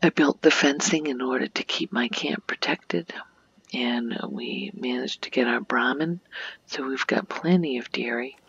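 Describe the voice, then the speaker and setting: Soft, breathy woman giving an ASMR tour. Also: a bass voice, unhurried, no echo, lo-fi sound. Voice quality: soft, breathy, often near whisper. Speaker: video game streamer and ASMR creator.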